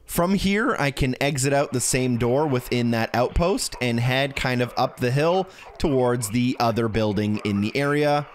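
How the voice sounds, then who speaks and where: A man's voice: mid-range voice, moderate pace, a little hiss or hum. A man narrating a video game walkthrough in a casual, instructional way, talking directly to the viewer. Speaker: video game guide creator.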